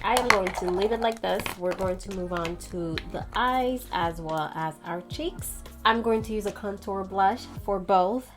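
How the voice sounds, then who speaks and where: A woman giving a casual makeup tutorial in a chatty, playful, slangy way. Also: fairly low pitch. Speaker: beauty vlogger.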